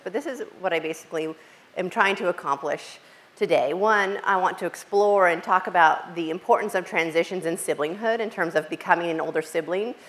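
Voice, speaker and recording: Loud-voiced woman giving an academic talk. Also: on the low side. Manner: loud voice, frequent fillers and restarts. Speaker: invited speaker. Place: lecture hall with some echo. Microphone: hall microphone.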